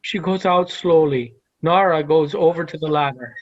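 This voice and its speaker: A man's voice: a little high, normal speed, muffled recording. A man reading stage directions as scripted narration for a play.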